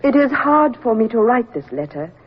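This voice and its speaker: An actress playing a gentle, formal English lady of rank, reading a letter graciously on an old radio drama. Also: a little high, very animated, moderate pace, slightly rough. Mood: tender. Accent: British. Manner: gracious and composed. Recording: tinny old radio broadcast recording.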